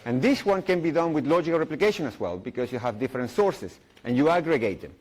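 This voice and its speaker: A middle-aged man speaks into a stage mic at a conference, his voice sitting a little high for a man. He talks in English, cracks jokes and restarts sentences as he walks the room through a technical idea. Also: expressive, fast talker. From Chile. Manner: playful, jokey, frequent fillers and restarts. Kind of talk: live technical talk with audience questions.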